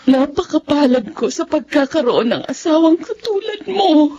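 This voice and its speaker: Casting: troubled Filipino wife with a secret, high-pitched, emotional and hesitant, radio serial with muffled sound. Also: female voice, expressive, normal speed. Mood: tearful, anguished. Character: guilt-ridden married woman, anguished, hiding a secret. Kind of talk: Filipino radio drama acting. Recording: dull radio-drama sound with background music.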